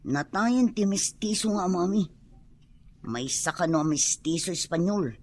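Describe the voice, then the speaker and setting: A woman's voice: on the low side, expressive, unhurried, a little mellow. An actress playing a humble, respectful young woman of the mountains in an Ilocano radio drama. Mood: deferential, matter-of-fact.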